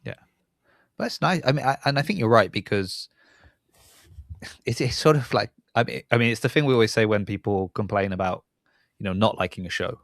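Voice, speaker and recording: Low-voiced man hosting, dry close sound. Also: fairly quick, pauses often, dry recording. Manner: rambling, thinking aloud, often rephrasing mid-sentence. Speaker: podcast host and interviewer. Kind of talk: casual podcast interview.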